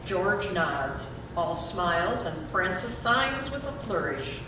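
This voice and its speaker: Female narrator for a stage reading, lively intonation, scripted, recorded at a distance. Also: slow pace. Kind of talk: scripted readers' theater narration. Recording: echoey room, distant mic, rumbling noise.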